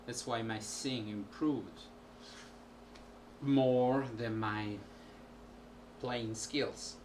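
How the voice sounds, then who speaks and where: A man, a musician, talking casually to camera at home in a halting way with many pauses. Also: medium pitch, fairly even intonation, a little mellow. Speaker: musician vlogger. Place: small room at home. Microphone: built-in camera mic. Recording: distant home camera audio with background noise.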